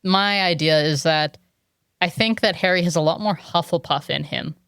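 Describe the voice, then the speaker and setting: Woman podcaster, smooth voice, says "like" a lot, dry close mic. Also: on the low side, moderate pace, a bit bright, no echo. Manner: chatty and analytical, many "like"s. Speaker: podcast co-host. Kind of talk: casual book podcast chat. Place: home room with a cat.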